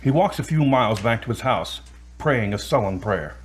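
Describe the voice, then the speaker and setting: A man's voice: medium pitch, animated, normal speed, natural voice. A man narrating a scripted audio drama as its storyteller.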